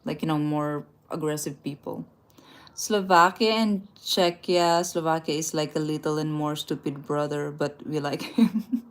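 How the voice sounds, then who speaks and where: Woman, casual livestream chat, laughs a lot, a little low pitched. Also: fairly even intonation, measured pace. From Philippines. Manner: chatty, laughs often, small restarts and slips. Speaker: travel livestream host. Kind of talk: casual live chat, reading viewer comments aloud. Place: indoors, a rented room at night.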